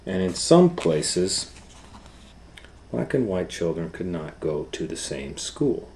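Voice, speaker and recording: Man, deep low voice, storytime educator, children's read-aloud, simple deliberate phrasing, noisy recording. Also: sing-song, a little mellow.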